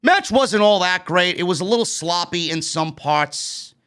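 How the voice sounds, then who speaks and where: Brief: late-30s New York guy, blunt animated wrestling host, higher-pitched voice, close studio mic. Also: unhurried, clean tone. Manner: animated, gestures a lot, blunt and swearing. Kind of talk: casual show review. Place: streaming studio with neon bar backdrop. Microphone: broadcast mic on stand, headphones.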